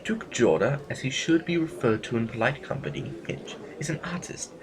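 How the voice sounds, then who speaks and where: A man playing a smug, haughty aristocrat in an audio drama, speaking formally with hesitant, deliberate pauses. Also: medium pitch, lively intonation, a crowd in the background, close to an everyday voice, rough recording. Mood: condescending. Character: smug, haughty male aristocrat needling a rival general.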